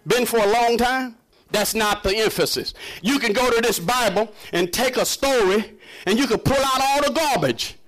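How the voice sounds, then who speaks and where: A man's voice: very high-pitched, normal speed, fairly bright tone. A man preaching a sermon in an emphatic, conversational and challenging manner, full of rhetorical questions. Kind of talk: impassioned sermon to a congregation.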